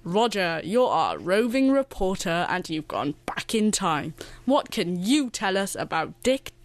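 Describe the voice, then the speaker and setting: A woman's voice: mid-range voice, big pitch swings, unhurried, no echo. A woman playing a self-important, easily flustered announcer in a comic radio play. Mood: grand.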